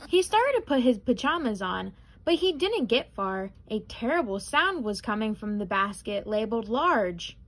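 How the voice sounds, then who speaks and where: A young adult woman reading a children's picture book aloud in an expressive, careful way. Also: on the high side, normal speed. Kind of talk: children's storybook read-aloud.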